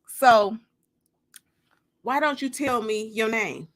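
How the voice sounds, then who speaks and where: Woman voicing a smooth, flirty biker guy, unhurried. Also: fairly high pitch. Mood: flirtatious. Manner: teasing, self-assured. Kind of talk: audiobook dialogue.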